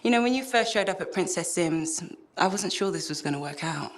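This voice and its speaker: A woman's voice: on the low side, animated, a little fast. An actress playing a thoughtful, self-doubting woman who talks in a rambling, wistful way in a game cutscene. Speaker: cypher ally. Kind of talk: video game cutscene acting.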